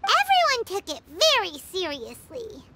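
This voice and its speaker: A woman voicing a tiny, childlike, blunt female fairy companion in a video game with a squeaky voice. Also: wildly swooping pitch, unhurried, very noisy, exaggerated voice. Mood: matter-of-fact.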